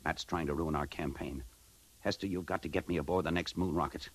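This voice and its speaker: A man's voice: extremely low pitch, steady tone, brisk, husky. An actor playing a terse, bitter adman on the run, narrating and acting in a 1950s radio drama. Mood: urgent. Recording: noisy old radio recording.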